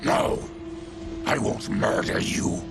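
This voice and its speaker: A man playing a fierce but honorable monstrous warrior in a video game, with a growling, gravelly voice and a blunt manner. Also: fairly low pitch, wildly swooping pitch, slow, heavily acted. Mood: solemn. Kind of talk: video game cutscene acting. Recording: loud music behind the voice.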